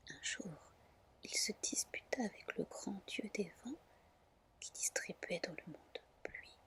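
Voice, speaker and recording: A woman reading tales in a soft, breathy, soothing whisper, as a gentle ASMR storyteller. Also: mid-range voice, lively intonation. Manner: slow, gentle, soothing delivery.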